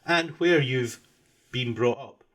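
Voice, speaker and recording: A man's voice: mid-range voice, slow, fairly bright tone. A man hosting a music podcast, asking long, winding interview questions. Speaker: podcast host.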